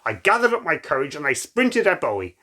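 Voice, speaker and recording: A man's voice: a little high, big pitch swings, brisk, bright, forceful tone, full character voice. A man playing a brave, affectionate young woman who is blind, in a dramatic, tender storybook reading. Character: brave, affectionate blind girl, college student.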